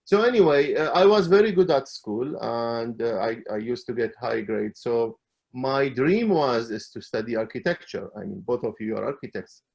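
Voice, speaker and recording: A middle-aged man from Iraq, a calligrapher, telling his story as an interview guest in a halting way, with many fillers and restarts. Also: medium pitch, expressive, gravelly, a little mellow.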